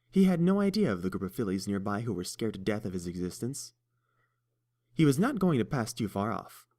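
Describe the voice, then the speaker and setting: A man narrating a fan-fiction storybook in a steady, measured way. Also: medium pitch. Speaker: audiobook reader.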